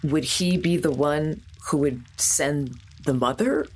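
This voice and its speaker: A woman's voice: deep voice, slow, slight background noise. A woman playing a tabletop game, improvising her character's actions and words. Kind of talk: improvised roleplay, describing and voicing her character.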